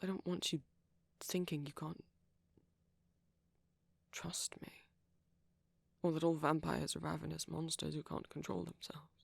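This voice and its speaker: Husky, hesitant vampire boyfriend, acted by a man. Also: a little high, flat delivery, dry recording, full character voice. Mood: earnest, hesitant. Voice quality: soft, breathy, husky, often near whisper. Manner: hesitant, halting, apologetic, gentle. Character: shy, tender young male vampire boyfriend. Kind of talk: intimate ASMR roleplay acting.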